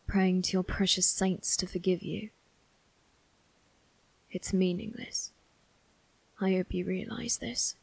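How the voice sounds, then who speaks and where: A woman playing a cold, menacing young male vampire crime boss in an ASMR roleplay, speaking in a breathy, husky half-whisper with a measured, condescending manner. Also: on the low side, a little mellow. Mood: scornful. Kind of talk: ASMR character roleplay addressed to the listener.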